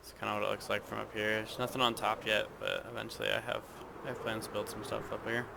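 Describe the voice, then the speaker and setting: A man giving a casual, hesitant walkthrough of his game base, speaking in a flat monotone with frequent ums. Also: on the low side, quick pace, gravelly, background noise. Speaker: gaming video creator.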